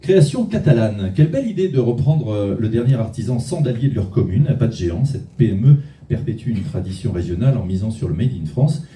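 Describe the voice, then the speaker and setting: A man's voice: medium pitch, sing-song, quick pace, mellow. A man hosting an awards ceremony on stage in a large hall, chatty and jokey, with loose phrasing and frequent restarts. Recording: echoey hall, mic at a distance, faint music.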